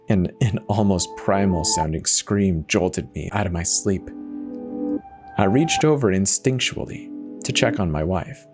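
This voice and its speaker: A man reading a first-person horror story as a dramatic scripted narration. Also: low-pitched. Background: music.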